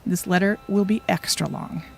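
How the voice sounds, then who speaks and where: A woman's voice: lowish voice, fairly even intonation, normal speed, vocal fry, soft background music. A woman playing a wry, self-doubting young time traveler in an audio drama, with a wistful, confiding manner. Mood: wistful, affectionate. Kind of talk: audio drama, reflective letter narration and dialogue.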